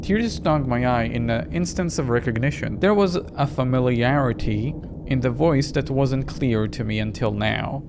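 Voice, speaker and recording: A narrator reading a first-person horror story dramatically, in a measured, suspenseful way. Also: mid-range voice, creaky, background noise. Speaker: game narrator and reader.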